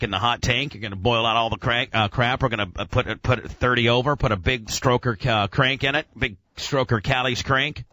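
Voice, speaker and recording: Bright, forceful man rambling in gearhead talk. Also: medium pitch, no room sound. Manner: rambling, enthusiastic gearhead jargon, mild swearing. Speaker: radio show host. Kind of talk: casual motor-head radio chat.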